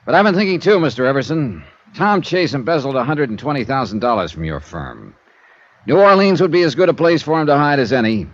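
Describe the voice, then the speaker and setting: An actor playing a confident insurance investigator, breezy, wry and matter-of-fact, in 1950s radio drama style. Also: male voice, mid-range voice, very animated, brisk. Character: brisk, confident male freelance insurance investigator. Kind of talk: 1950s radio drama acting and first-person narration. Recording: muffled old radio recording with music.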